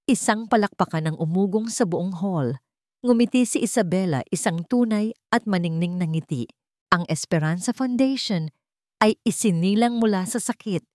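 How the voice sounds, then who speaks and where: A woman's voice: mid-range voice, lively intonation, normal speed, darkish tone, no room sound, studio quality. A woman narrator reading a scripted, dramatic story.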